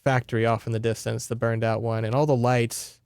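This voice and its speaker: A man's voice: medium pitch, level tone, fairly quick, darkish tone, clear, resonant tone, no echo, clean studio sound. A man hosting a podcast, casually recapping a story with sarcastic, exasperated, sweary asides. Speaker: podcast host. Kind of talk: casual recap of a horror novel.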